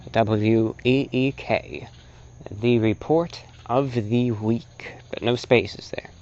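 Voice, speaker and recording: Man giving an opinionated lecture, slightly muffled. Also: medium pitch. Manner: emphatic, deliberate, rhetorical phrasing with repetition. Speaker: host and presenter, radio broadcaster. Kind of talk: opinionated informal lecture on radio.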